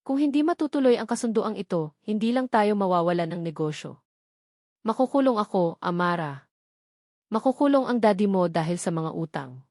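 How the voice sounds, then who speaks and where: A woman's voice: mid-range voice, lively intonation, normal speed, a little mellow, no room sound, lightly acted. A woman narrator plays a middle-aged Filipino father in a romance drama. He pleads desperately and heavily under the weight of debt, in a close, dry recording. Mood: pleading, desperate. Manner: pleading, heavy.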